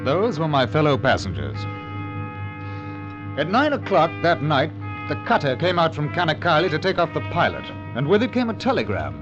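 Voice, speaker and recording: A man's voice: fairly high pitch, wildly swooping pitch, normal speed. A man narrating in the first person as the lead in an old radio adventure drama, tense and storytelling. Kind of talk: 1940s radio acting. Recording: dull old radio broadcast with music.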